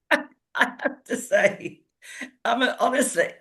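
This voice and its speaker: A woman's voice: a little high, expressive, slow pace. A woman with a British accent giving her opinions in casual, chatty and emphatic talk.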